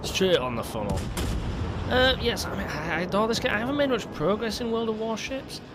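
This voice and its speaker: A man's voice: sits high, animated, brisk, noisy background. A man hosting casual gameplay commentary, rambling with stumbling restarts and a lingering cough. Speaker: gaming video host.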